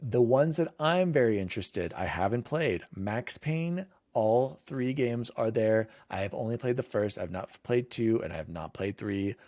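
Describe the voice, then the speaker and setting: Guy hosting a gaming podcast, lowish voice, chatty and informal, really dry close sound. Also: a little mellow, no echo. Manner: informal, conversational. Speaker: podcast host. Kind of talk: casual gaming podcast chat, reading out news lists.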